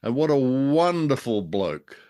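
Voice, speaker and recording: A man's voice: mid-range voice, big pitch swings, unhurried, warm tone. A man with an Australian accent co-hosting a show in casual, unscripted chat, with a jokey, dry way of telling things. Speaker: podcast co-host.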